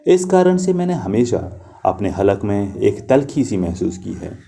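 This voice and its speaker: Brief: young adult male storyteller, low and very dark-toned, slow reflective delivery. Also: very animated, gravelly, a little hiss or hum. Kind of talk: literary story reading.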